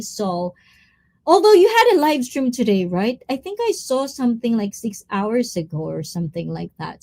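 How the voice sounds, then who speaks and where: A woman's voice: a little high, darkish tone. A woman hosting a live stream, chatting casually, reading viewer comments and mixing in Tagalog words. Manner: chatty, mixes in Tagalog words, drifts between topics.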